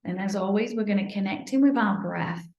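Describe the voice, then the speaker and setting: Creaky, mellow woman guiding meditation. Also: mid-range voice. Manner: soothing, unhurried phrasing with breathy near-whispered moments. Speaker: guided meditation leader. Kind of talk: guided meditation, improvised live. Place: indoor room, live online video session. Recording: roomy, echoey sound.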